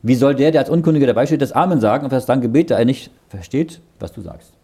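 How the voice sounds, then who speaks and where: Man explaining Bible verses, dark husky voice. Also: mid-range voice, expressive, fast. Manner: reads verses aloud, then explains them in plain words; often checks understanding with "ja?". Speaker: Bible teacher.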